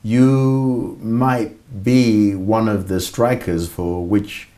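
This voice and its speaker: A Kenyan man hosting a formal TV interview, asking measured, articulate questions with a dry wit. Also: fairly low pitch, fairly even intonation, warm tone. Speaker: TV interviewer, host.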